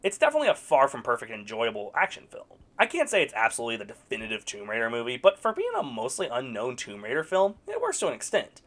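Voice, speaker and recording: Wildly animated sarcastic movie reviewer. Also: medium pitch, fast, few pauses. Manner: sarcastic, blunt, swears. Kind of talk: casual opinionated review.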